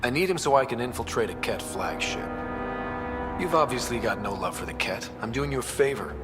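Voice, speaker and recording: A man's voice: medium pitch, expressive, a little fast, lightly acted. A man playing a confident, dry young space explorer in a video game, matter-of-fact and wry. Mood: firm. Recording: music and effects behind.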